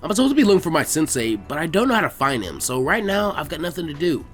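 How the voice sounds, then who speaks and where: Guy doing a boastful young ninja boy, offhand, kinda high voice, fanfic story. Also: very animated, fast talker, soft background music. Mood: flippant. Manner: casual, cocky. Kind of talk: fan fiction character reading.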